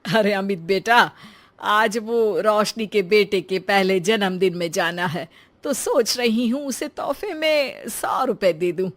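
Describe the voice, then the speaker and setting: A woman voicing a gentle, homely older mother of a grown son in a cartoon story. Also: medium pitch, slow pace, close to an everyday voice. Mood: modest. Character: modest, caring elderly mother of a grown son.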